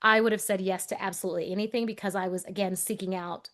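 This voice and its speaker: A woman's voice: mid-range voice, steady tone, fast, fairly bright tone. A woman in her forties, raised in Texas, who speaks without a regional accent. She co-hosts a podcast and tells her own story in a thoughtful, earnest conversational way. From Texas, USA. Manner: earnest, thoughtful, with restarts and brief laughs. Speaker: podcast co-host.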